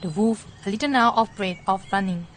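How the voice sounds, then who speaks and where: A woman's voice: mid-range voice, brisk, slight background noise. A woman narrating a scripted children's story as moderator.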